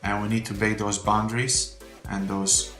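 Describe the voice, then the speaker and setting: A man's voice: fairly low pitch, flat delivery, moderate pace, fairly bright tone. A man from Romania, giving a heartfelt, faith-filled testimonial in slightly phrasing. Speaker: church member giving a testimonial. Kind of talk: earnest unscripted testimonial. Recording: roomy echo with faint background music.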